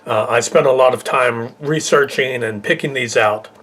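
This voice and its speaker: Mid-pitched man presenting a list. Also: normal speed, fairly bright tone. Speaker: video host. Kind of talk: casual scripted list presentation.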